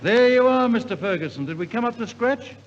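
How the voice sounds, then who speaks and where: A man's voice: extremely high pitch, animated, brisk, a little hiss or hum. A man compering a 1950s live radio variety show, heartily urging his audience to join in, on an old recording made in a concert hall. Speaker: radio show host and community singing leader. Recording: dull vintage radio recording in reverberant hall. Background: music and audience.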